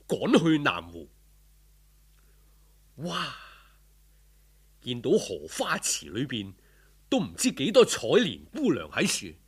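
A male storyteller with a Cantonese accent tells a folk tale dramatically. His husky voice moves at an unhurried pace, with widely swinging intonation. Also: medium pitch, fairly bright tone.

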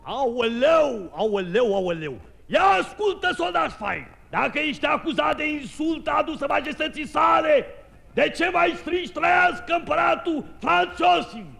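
An actor playing a bullying, exasperated police interrogator, sharp, mocking and impatient, in a 1950s radio drama. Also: male voice, extremely high pitch, lively intonation, gravelly, heavily acted.

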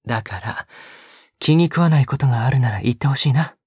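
Breathy, sweetly menacing young captor. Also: male voice, mid-range voice, a little fast, darkish tone, dry recording, clean studio sound. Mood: mock concern. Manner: teasing, coaxing, talks as if to a pet. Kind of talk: intimate Japanese audio drama acting.

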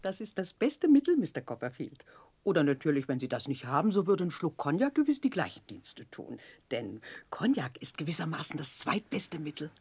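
Husky, nosy old-fashioned landlady. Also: deep voice, sing-song, brisk, darkish tone, dry recording, full character voice. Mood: confiding, meddling. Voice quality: husky, breathy. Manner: confiding, theatrical, motherly advice-giving. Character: Mrs Crupp, nosy, sly, brandy-loving middle-aged landlady. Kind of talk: 1960s German radio drama acting.

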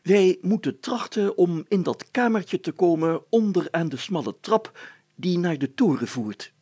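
A man's voice: sits high, animated, unhurried, a little mellow, husky, dry recording, pitched above the performer's own voice, full character voice. A man voicing a pompous, optimistic village mayor in a storybook, speaking emphatically and with self-importance. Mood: upbeat, commanding.

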